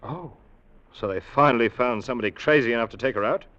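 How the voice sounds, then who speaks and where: An actor playing a loyal, uneasy ship's mate in a 1940s radio sea drama, telling and living a grim tale. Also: male voice, medium pitch, a bit bright, no echo, natural voice. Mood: wary, surprised. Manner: measured, literary storytelling, urgent in dialogue. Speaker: narrator and actor. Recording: muffled old-time radio recording, music behind.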